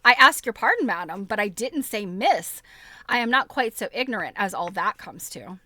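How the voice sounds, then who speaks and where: A woman's voice: medium pitch, big pitch swings, moderate pace, bright, forceful tone, dry recording. A woman voicing a dignified, offended husband in a story reading, stiff, formal and indignant. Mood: offended dignity.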